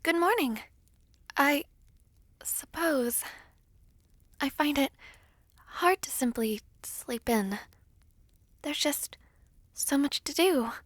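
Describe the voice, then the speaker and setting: A woman playing a gentle, modest young princess in an audio roleplay, soft and breathy, speaking politely and hesitantly. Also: sits high, slow, pauses often, no room sound, studio quality. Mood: shy, weary. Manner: soft-spoken, hesitant, polite, formal diction.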